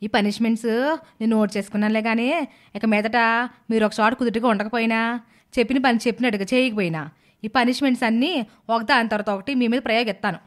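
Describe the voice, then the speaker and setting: A woman voicing a stern, sarcastic middle-aged aunt who scolds her nieces in an animated moral story. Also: a little high, fast talker, lightly acted. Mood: mocking, commanding.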